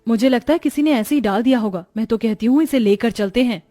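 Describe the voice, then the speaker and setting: A woman's voice: on the high side, fast, mellow, soft background music, close to an everyday voice. A woman voicing an earnest, hopeful young wife in a moral-story cartoon. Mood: persuasive.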